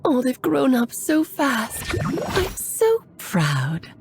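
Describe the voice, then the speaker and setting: A woman's voice: high voice, wildly swooping pitch, drawn-out words, very noisy, heavily acted. A woman playing a cheerful, doting, whimsical witch in a video game, chatty and theatrical. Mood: doting pride. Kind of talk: scripted video game voice acting.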